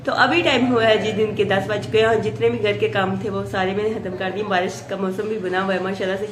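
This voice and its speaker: Hoarse Pakistani woman vlogging at home. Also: mid-range voice, steady tone, quick pace, slight background noise. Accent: Pakistani Urdu. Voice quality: soft, chatty, full of blessings and "ji". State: hoarse from a sore throat. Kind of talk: daily routine home vlog.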